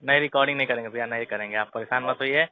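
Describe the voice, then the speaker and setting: Fast-talking placating man on tinny phone. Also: medium pitch, fairly even intonation, no echo. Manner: deferential, placating. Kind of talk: private phone conversation. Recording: tinny dry phone call recording.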